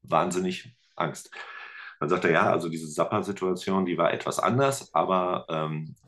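A man with a Ruhr German accent, a teacher and musician, telling stories in a relaxed, chatty podcast interview with lots of fillers. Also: low-pitched, normal speed. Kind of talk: casual interview chat.

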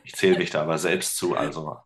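A middle-aged man, a teacher and musician, talking casually as a podcast guest, relaxed and rambling with many fillers. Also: rumbling low, fast, background noise. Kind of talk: casual interview chat.

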